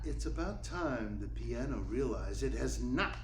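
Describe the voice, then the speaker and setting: A man's voice: mid-range voice, animated, a little slow. A man playing a caustic, exasperated playwright in a radio play, sardonic and indignant. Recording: echoey room with background noise.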